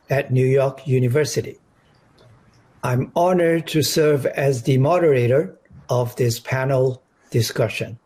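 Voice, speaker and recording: A man's voice: fairly high pitch, darkish tone, husky. A man moderating a panel, making polite, formal introductions over a video call. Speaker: moderator and professor.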